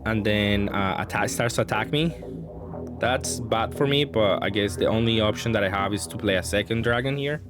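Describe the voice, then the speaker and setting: A man's voice: on the low side, normal speed. A man giving casual gameplay commentary, thinking aloud in a hesitant way as he works through his options. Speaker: card game player and channel host. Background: background music.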